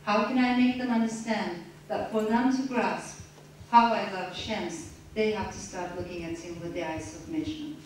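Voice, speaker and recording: A woman's voice: mid-range voice, a little mellow. Woman reading in an echoey room. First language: Turkish. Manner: measured, reflective, with some restarts. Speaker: author at a reading. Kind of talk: reading aloud then answering questions. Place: lecture room. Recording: echoey room, distant mic, faint noise.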